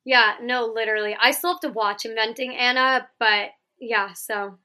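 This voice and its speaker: Bright-voiced chatty woman podcast host. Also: fairly high pitch, normal speed. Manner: chatty and giggly. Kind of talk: casual chat.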